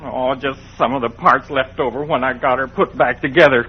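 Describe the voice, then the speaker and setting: An actor playing a bumbling, exasperated comic cowboy sidekick, grumbling in a folksy way in a 1950s radio western. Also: male voice, medium pitch, steady tone, fairly quick, slightly rough, lightly acted. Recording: muffled old radio recording.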